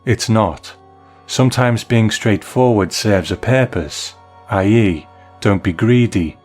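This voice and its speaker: Male podcast host, lowish voice, deliberate phrase-by-phrase reading of mail, soft music underneath. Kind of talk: reading listener mail aloud.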